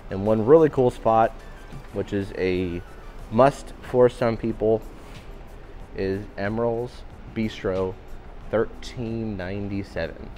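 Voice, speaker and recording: A man giving an upbeat walking tour of a cruise ship, his voice a little raspy today. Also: lowish voice, takes it slowly, mellow, soft background music. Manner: upbeat, enthusiastic guiding. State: slightly raspy voice. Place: indoor public areas of a cruise ship.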